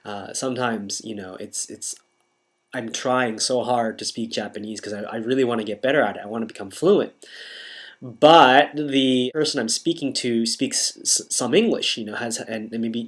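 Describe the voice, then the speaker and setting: A young man with an American accent talks straight to camera in an easygoing, unscripted monologue. His voice sits at an ordinary middle pitch. He stops and restarts sentences often, circling back to repeat words before pressing on. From United States. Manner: halting, self-interrupting, frequent restarts. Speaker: vlogger.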